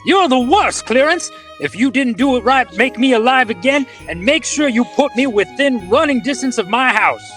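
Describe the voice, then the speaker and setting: A man's voice: extremely high pitch, expressive, normal speed, hardly any pauses, fairly bright tone, soft background music. A man playing a flustered, self-pitying everyman husband in a comic radio play, whiny and exasperated. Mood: fed up.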